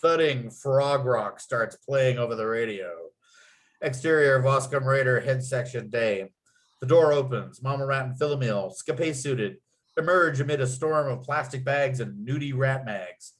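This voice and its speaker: A male narrator with a medium-pitched voice reads out screenplay action lines. He delivers scene headings and descriptions as part of a script table read. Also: normal speed. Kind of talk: reading screenplay stage directions at a table read.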